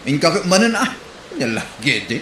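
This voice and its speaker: Man acting an angry Ilocano husband, highish voice. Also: sing-song, moderate pace. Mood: stern. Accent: Ilocano Filipino. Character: angry, scolding husband, fed up and sarcastic. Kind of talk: Ilocano comedy radio-style drama. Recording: roomy echo, clear background noise.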